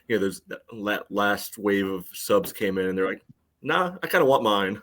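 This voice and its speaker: A man's voice: on the low side, lively intonation, normal speed, fairly bright tone. A man casually analysing a match on a podcast, peppering his talk with "you know". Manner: frequent "you know" filler. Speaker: soccer podcast host.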